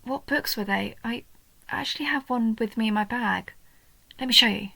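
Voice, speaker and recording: A woman voicing a young female goblin in a roleplay audio, a nerdy, sweet and curious bookworm who talks earnestly and eagerly, sometimes softly breathy. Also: fairly high pitch, moderate pace, dry recording, exaggerated voice. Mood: fascinated, earnest. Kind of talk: roleplay audio, intimate one-sided scripted dialogue.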